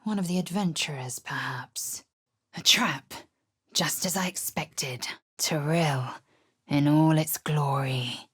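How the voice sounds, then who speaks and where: A woman's voice: low-pitched, slow pace, breathy edge, heavily acted. An actress voicing a proud, stern githyanki warrior woman from a video game, talking in a clipped, commanding, formal and scornful way. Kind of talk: video game character dialogue.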